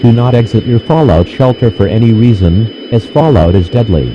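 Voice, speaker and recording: A man's voice: mid-range voice, expressive, moderate pace, natural voice. Man voicing a formal emergency broadcast announcer, muffled. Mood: grave, official. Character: male emergency alert announcer, stern and impersonal. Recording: dull, noisy radio-style broadcast.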